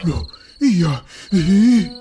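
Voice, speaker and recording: Jumpy young friend, a man voicing him, very high and bouncy. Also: sing-song, very slow, over music, heavily acted. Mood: nervous.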